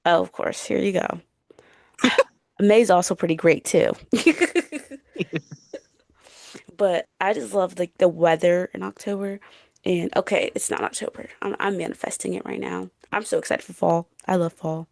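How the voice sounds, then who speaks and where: A woman's voice: fairly low pitch, fairly even intonation, moderate pace, vocal fry, pauses often, darkish tone, no room sound. A young woman co-hosting a podcast, chatting casually in loose, slangy everyday speech. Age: young adult. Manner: colloquial, slangy, frequent "like". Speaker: podcast co-host. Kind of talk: casual chat. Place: bedroom.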